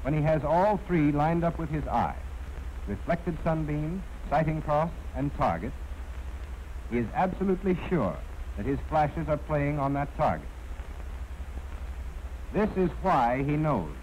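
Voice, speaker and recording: An American man reading scripted instructional narration in a formal, measured, precisely enunciated way. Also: a little high, sing-song, darkish tone. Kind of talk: scripted training film narration. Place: narration recorded for an archival film. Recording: dull, noisy 1940s film soundtrack.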